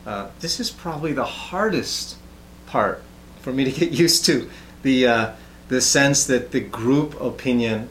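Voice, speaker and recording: A man's voice: mid-range voice, echoey room, slight background noise. A man hosting a casual interview show, talking hesitantly with many uhs and restarts. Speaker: show host.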